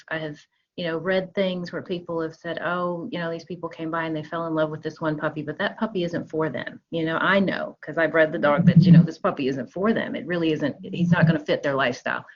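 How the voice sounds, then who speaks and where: Lower-voiced woman asking halting questions. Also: steady tone, muffled recording. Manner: hesitant, many fillers and backchannels. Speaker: interview host. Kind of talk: casual interview questions.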